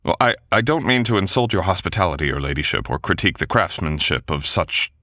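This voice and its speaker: A man's voice: low voice, fairly quick, bright, forceful tone, no room sound, clean studio sound. A man voicing a wounded medieval knight in a boyfriend roleplay, gallant and stubborn, speaking in teasing old-fashioned courtly phrases. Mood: courteous. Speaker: voice actor. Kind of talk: boyfriend ASMR roleplay audio.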